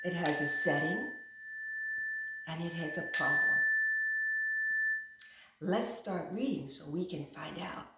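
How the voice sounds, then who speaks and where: A woman reading a picture book aloud like a teacher, with simple, instructive phrasing and expressive stress on key words. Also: low voice, slow pace, pauses often, heavy background noise. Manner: simple, instructive phrasing aimed at young children. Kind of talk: animated children's picture book read-aloud. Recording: echoey room.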